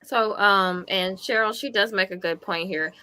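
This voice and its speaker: A woman panelist speaking calmly and in a measured way in a live discussion. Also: mid-range voice, clean tone, no echo.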